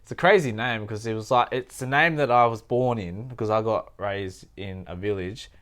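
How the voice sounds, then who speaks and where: A man's voice: lowish voice, normal speed, a bit bright. A young man from Thailand, a podcast guest, talking in a laid-back, slangy way. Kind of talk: casual interview storytelling.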